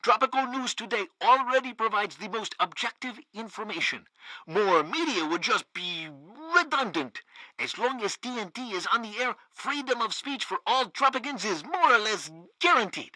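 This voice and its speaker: A pompous state radio newsman. His voice is very bright and forceful, swinging theatrically up and down. He delivers satirical game propaganda with total loyalty and bombast. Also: sits high, lively intonation, normal speed, dry recording, heavily acted, low-quality recording. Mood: smug. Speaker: radio news host. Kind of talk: satirical radio news broadcast.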